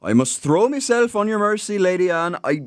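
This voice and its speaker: Man acting high-voiced, anxious mathematician. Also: lively intonation, clear, resonant tone, no room sound, studio quality. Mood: desperate, pleading. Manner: halting, pleading, overwrought. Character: Murphy, anxious, pleading male mathematician. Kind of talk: audio theatre period mystery acting.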